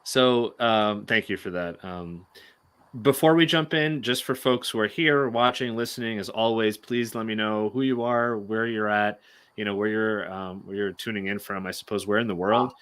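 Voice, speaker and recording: Upbeat low-voiced male host, bright tone. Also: moderate pace, clean tone. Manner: upbeat, many fillers like um and you know. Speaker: podcast host, former immigration attorney. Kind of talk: hosting an interview show.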